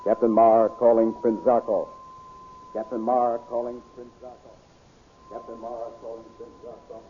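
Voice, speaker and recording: An actor playing a terse, loyal army captain in a 1930s radio serial. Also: male voice, mid-range voice, level tone, moderate pace, slight background noise, pitched below the performer's own voice, close to an everyday voice. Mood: urgent. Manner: terse, clipped. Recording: tinny 1930s radio transcription.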